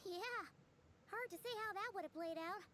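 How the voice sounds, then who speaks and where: A woman's voice: extremely high pitch, normal speed, a bit bright, slight background noise. A woman voicing a tiny floating female companion in a video game, childlike and blunt, who speaks of herself in third person. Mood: perky, prying.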